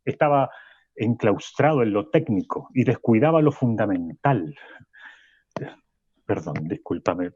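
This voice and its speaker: A weary, troubled male professor in a radio play, earnest and halting as he repeats himself. His voice is husky, recorded very dry and a little dull. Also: fairly low pitch, lively intonation, a little mellow, no room sound. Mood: insistent, troubled, then flustered. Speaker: interview guest. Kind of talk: radio drama acting as a live interview.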